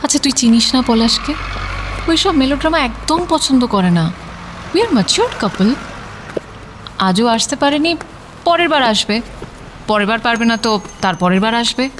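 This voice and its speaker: A woman's voice: on the high side, animated, measured pace, long pauses, background noise. A woman playing a young professional, a bantering, defensive and devoted girlfriend in an audio story. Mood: teasing, defensive. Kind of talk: audio story dialogue in casual Bengali.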